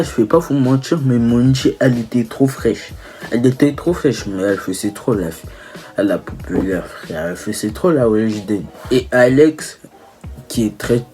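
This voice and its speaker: Young male vlogger, mid-pitched and mellow, casual teasing delivery with stumbles and self-corrections. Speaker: video creator. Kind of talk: casual humorous commentary.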